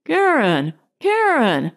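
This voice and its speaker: A woman voicing a lonely, yearning man without a body in audiobook dialogue, earnest and halting. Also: sits high, huge pitch swings, heavily acted. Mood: desperate. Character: lonely bodiless man, anxious, yearning, emotional.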